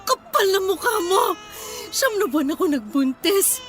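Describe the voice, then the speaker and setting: A woman's voice: extremely high pitch, sing-song, normal speed, soft background music. An actress playing a sarcastic, confrontational young wife and mother in a radio drama, taunting and accusing. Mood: furious, anguished.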